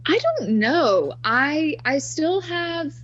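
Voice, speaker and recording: A woman's voice: high-pitched, lively intonation, slow, fairly bright tone, highs cut off. A woman around thirty, a podcast guest, chatting in an upbeat, laugh-prone way. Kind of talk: casual interview chat.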